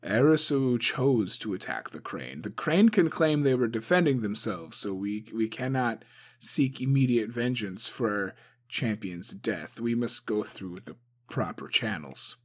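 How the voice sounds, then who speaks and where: A man's voice: on the low side, lively intonation, a little slow. A man voicing a cautious male clan advisor who mumbles and hesitates. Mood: wary. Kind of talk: audiobook character voice.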